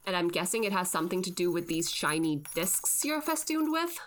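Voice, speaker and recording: A woman's voice: fairly low pitch, very animated, a little fast, a little hiss or hum. An actress playing a dry, skeptical podcast host, the exasperated straight woman in scripted comic banter. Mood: wry, skeptical. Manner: wry, skeptical.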